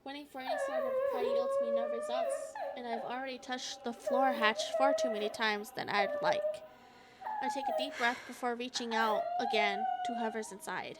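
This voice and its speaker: A woman reading a visual novel aloud as a gaming streamer, halting and expressive, with casual asides. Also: high voice. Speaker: gaming YouTuber.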